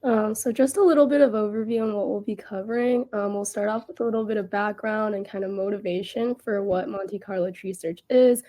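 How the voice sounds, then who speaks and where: A woman's voice: medium pitch, steady tone, fairly quick, hardly any pauses, mellow. A young woman, a university student, introducing her project casually with the odd filler. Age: young adult. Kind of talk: presenting a project.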